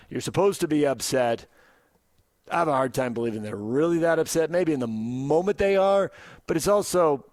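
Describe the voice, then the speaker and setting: Male American sports radio host, conversational and emphatic, mid-pitched, dry close studio sound. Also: dry recording. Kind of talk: casual sports talk. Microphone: close studio microphone.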